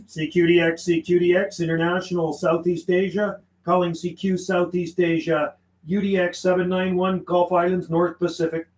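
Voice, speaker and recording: A man's voice: high-pitched, little pitch movement, moderate pace, a little mellow, reverberant. A man chatting casually and slangily on a livestream as a radio operator, mixing in call signs and phonetic codes. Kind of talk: casual livestream chat mixed with radio calling. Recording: clear background noise.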